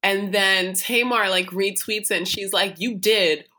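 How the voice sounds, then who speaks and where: A young American woman co-hosting a casual podcast with a bright, clear voice. She tells celebrity gossip in a chatty stream, full of fillers like "um" and "like". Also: mid-range voice, fairly even intonation, unhurried. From United States. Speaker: podcast co-host. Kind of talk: casual chat.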